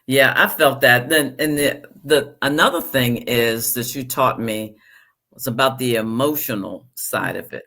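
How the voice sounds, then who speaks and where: A woman's voice: rumbling low, a little slow, pushed and bright. A woman hosting a podcast interview. She chats casually and tells self-deprecating stories about herself. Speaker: podcast host.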